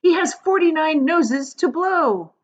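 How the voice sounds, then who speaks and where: Woman as a high, boisterous storybook centipede. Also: expressive, slow. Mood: gleeful. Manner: exclaiming, theatrical, reciting nonsense verse. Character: boisterous grinning male centipede, excitable storybook adventurer. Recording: dull, lo-fi sound.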